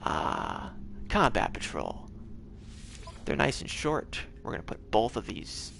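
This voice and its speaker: A man's voice: lowish voice, wildly swooping pitch, slow pace, gravelly, fairly bright tone, a little hiss or hum. A man casually commenting on his game for a live stream, rambling and tripping over his words as he plays at home. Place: home, gaming on a TV.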